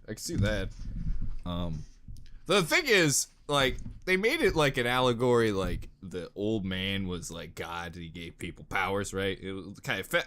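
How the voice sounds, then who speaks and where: A man's voice: on the low side, bright, forceful tone. A man giving casual, jokey reaction commentary with sarcastic remarks and mild swearing. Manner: jokey, sarcastic, mildly profane. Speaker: reaction video host.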